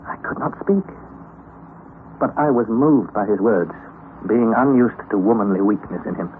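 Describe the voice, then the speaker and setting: A man's voice: fairly low pitch, lively intonation, moderate pace, natural voice. A man acting a despairing young explorer, tinny old radio. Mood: moved, amazed. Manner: formal, anguished, theatrical delivery. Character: weary, despairing young nephew narrating a perilous expedition. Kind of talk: dramatic first-person radio play narration. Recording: noisy, thin vintage radio recording.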